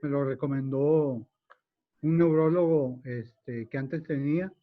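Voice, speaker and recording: A man's voice: mid-range voice, fairly even intonation, slow pace. A man speaking frankly and casually in a group video call. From Mexico. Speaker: support group participant. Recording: dull lo-fi video call audio.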